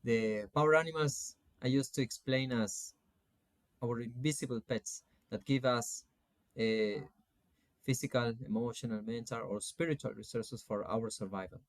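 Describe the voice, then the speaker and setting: Argentine guy in his 40s, spanish accent, coach teaching online, kinda halting, mid pitch, dry sound. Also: no echo. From Salta, Argentina. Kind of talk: informal online lecture with slides.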